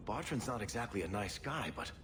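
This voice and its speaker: A man playing a wry, streetwise dwarf adventurer in a video game, with a sardonic, expressive delivery. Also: lowish voice, fairly quick, over music, lightly acted. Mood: serious, concerned. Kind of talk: video game dramatic acting.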